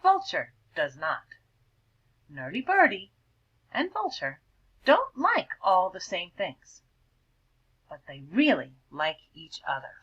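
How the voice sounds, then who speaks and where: Breathy woman teacher reading aloud. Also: on the low side, wildly swooping pitch, takes it slowly, long pauses, highs cut off. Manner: gentle questioning, prompting children to think. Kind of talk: reading a picture book aloud to a class.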